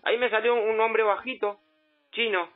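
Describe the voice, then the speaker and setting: A man playing an insistent, simple provincial customer with an Argentine accent, complaining in a halting, repetitive way on a prank call. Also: sits high, fairly even intonation, natural voice. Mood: aggrieved. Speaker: prank caller. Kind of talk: improvised phone prank. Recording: muffled phone line, faint music behind.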